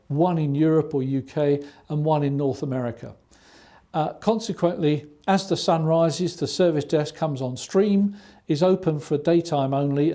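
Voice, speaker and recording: A middle-aged man giving a recorded training lecture, explaining in a measured way and listing points one by one. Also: on the high side, dark tone. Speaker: IT service management trainer.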